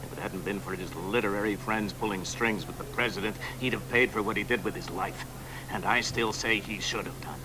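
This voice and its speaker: A man's voice: on the low side, brisk, crowd noise, lightly acted, rough recording. An actor playing a stubborn middle-aged father who talks in clipped, stern lines in a radio drama. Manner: clipped, stubborn, flares into anger. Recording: hissy broadcast recording.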